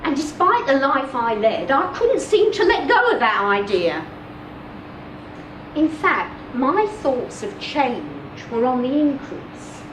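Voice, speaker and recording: High-voiced woman reading a story, slowly. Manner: deliberate, expressive, with measured pauses. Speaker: reader of a short story. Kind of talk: dramatic first-person story reading, live literary performance. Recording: roomy, distant mic, clear background noise.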